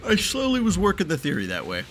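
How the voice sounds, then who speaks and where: A man giving casual, profane, joking and excitable commentary as he reacts to a video game. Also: a little high, fast. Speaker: gamer reacting to game cutscenes. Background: game music and sound.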